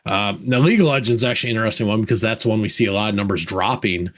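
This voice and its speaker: A man's voice: lowish voice, racing, fairly bright tone. A man hosting a podcast, easygoing and conversational as he interviews a guest. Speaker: podcast host.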